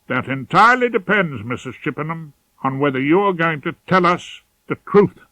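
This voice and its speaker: A man's voice: a little high, animated, moderate pace, sharp tone, no echo, pitched above the performer's own voice, low-quality recording. A man playing a wry, dogged old male defence barrister in an audiobook, theatrical and insistent in manner. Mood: insistent, accusing. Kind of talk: audiobook character dialogue.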